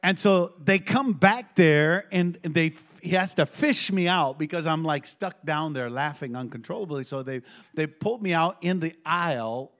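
Animated middle-aged male preacher, somewhat high. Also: normal speed. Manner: animated preaching with call-and-response. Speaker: pastor. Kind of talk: sermon with personal story.

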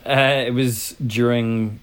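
A man's voice: mid-range voice, fairly even intonation, takes it slowly, smooth. A chatty man, in a mid-range voice, co-hosts a podcast. He talks bluntly and casually as he puts questions to the others. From Northern Ireland. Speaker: podcast co-host. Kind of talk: casual chat, interviewing co-hosts.

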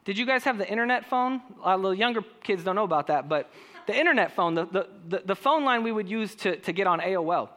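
Male pastor, naturally high voice, ringing tone, lively sermon delivery that keeps asking the room questions. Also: fast talker. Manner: animated preaching with rhetorical questions to the congregation.

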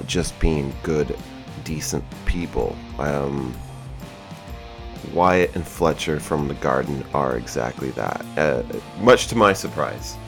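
A man hosting a music podcast, speaking in a relaxed, hesitant way with many fillers as he introduces the episode. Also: a bass voice. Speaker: podcast host.

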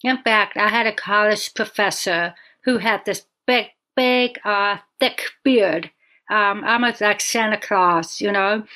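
A woman's voice: mid-range voice, measured pace, pushed and bright. A woman with a deaf accent, a podcast guest speaking earnestly in casual conversation, with frequent ums.

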